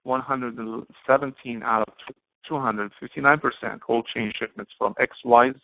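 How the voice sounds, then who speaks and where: A man giving an explanatory lecture to students as an instructor, speaking haltingly and often posing questions that he then answers himself. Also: medium pitch, fairly even intonation, creaky, no room sound. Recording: muffled, band-limited, phone-like audio.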